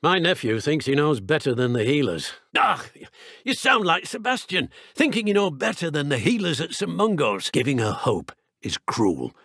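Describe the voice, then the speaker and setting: An actor playing a stern, hot-tempered older British wizard uncle in a video game, gravelly and husky, curt and scolding. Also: male voice, fairly high pitch, wildly swooping pitch, normal speed, a bit bright, no room sound, studio quality, exaggerated voice. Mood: weary, stern, then firm, disapproving.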